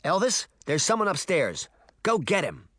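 A man's voice: high voice, wildly swooping pitch, normal speed, pushed and bright, dry recording, studio quality, voice pushed up, exaggerated voice. A man voicing a bossy, cautious young girl, the snappy older sister in a children's audiobook. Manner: snappy, commanding.

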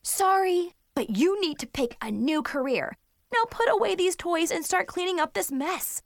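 A woman's voice: high voice, sing-song, a little slow, no echo, clean studio sound, voice pushed up. A woman voicing an exasperated schoolgirl big sister in a storybook, bossy and emphatic as she scolds. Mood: exasperated yelling.

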